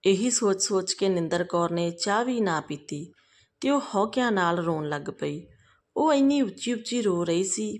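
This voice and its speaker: A woman reading a scripted family moral story as a storyteller. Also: on the low side.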